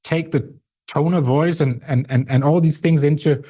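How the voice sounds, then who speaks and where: A middle-aged Danish man speaking English with a Danish accent, a company CEO answering interview questions in a halting way, repeating words and filling pauses. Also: a little high, fairly even intonation, dark tone. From Denmark. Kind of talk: interview conversation.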